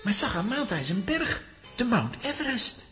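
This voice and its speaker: A woman playing an earnest, resourceful young adventurer in a children's audio drama, speaking emphatically with halting repetitions. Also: on the high side, very animated, a bit bright, soft background music. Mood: puzzled.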